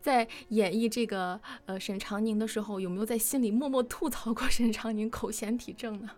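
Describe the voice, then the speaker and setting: A woman's voice: a little high, steady tone, brisk, a little mellow. A woman hosting a casual interview, asking questions in a conversational way. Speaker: host.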